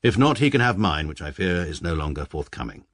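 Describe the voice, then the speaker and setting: A deadpan British barrister narrator, deep and husky, dry studio. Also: male voice, a little fast, no echo. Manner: precise, dry, pedantic. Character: pompous middle-aged barrister narrating his confession. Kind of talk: first-person radio narration.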